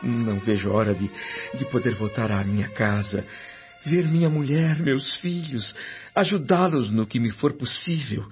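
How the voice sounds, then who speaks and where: An actor as an anxious, earnest male spirit, a grown son in a radio drama. He speaks formally and respectfully, with emotive, questioning delivery at a medium pitch. The sound is slightly dull, with music behind him. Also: expressive, normal speed, close to an everyday voice. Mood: longing.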